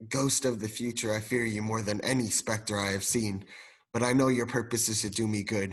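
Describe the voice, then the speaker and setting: A man's voice: on the low side, lightly acted. A young man playing a frightened, repentant old miser in a radio play, with formal, pleading dramatic delivery. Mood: fearful, solemn. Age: young adult. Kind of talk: theatrical radio play acting.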